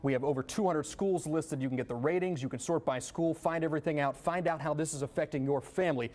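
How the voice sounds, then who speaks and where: Higher-voiced American male TV reporter. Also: quick pace, a little mellow. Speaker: TV news reporter. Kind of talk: live explanatory news report.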